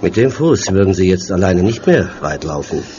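A man's voice: deep voice, sing-song, normal speed, a little hiss or hum. An actor playing a sinister villain who poses as a helpful policeman in a radio play, solicitous with an undertone of menace. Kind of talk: German radio play acting. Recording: dull sound with loud music behind.

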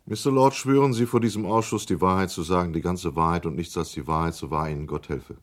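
An actor playing a male committee chairman in a radio drama who swears in witnesses and questions them in a measured, official tone. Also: low voice, natural voice. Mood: formal. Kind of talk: 1980s radio drama acting.

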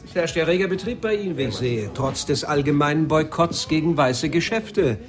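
An actor playing a menacing police captain, sarcastic, officious and pressing, in a German radio drama. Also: male voice, on the high side, animated, normal speed, soft background music, natural voice. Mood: probing. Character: menacing, sardonic male police captain interrogating.

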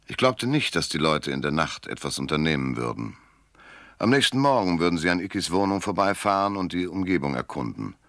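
A man's voice: low voice, fairly bright tone, echoey room. An actor playing a sardonic, self-assured private detective in a German radio crime drama, narrating his case and talking in a dry, mocking way.

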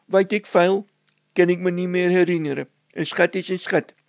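A man voicing an excitable, doubtful workman in a storybook, exclaiming and grumbling. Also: sits high, normal speed, no room sound, pitched above the performer's own voice. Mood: excited, bossy.

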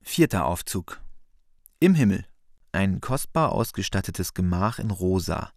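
A man's voice: low-pitched, lively intonation, measured pace, darkish tone, no room sound, studio quality. A man narrating stage directions for a radio play in a scripted reading.

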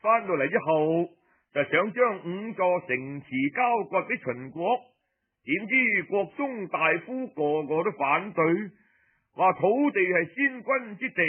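A man with a Cantonese accent voicing a ruler who makes humble, evasive excuses in formal, measured, deferential phrasing. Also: high-pitched, big pitch swings, breathy edge, narrow, tinny audio, heavily acted. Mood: apologetic, formal.

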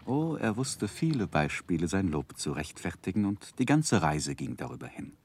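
A romantic gentleman telling his life story, old radio play, really deep voice, dry close sound. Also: breathy edge, no room sound, heavily acted. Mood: reflective, composed. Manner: measured, expressive, literary. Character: earnest, romantic gentleman recalling his young manhood. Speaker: narrator and main character. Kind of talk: dramatic first-person narration, 1960s German radio play.

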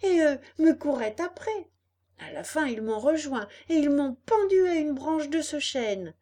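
An eager little wooden puppet boy from a storybook, voiced by a woman, high and swooping. He speaks in an earnest, excitable and naive way, with lively rises in pitch. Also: a little slow. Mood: excited.

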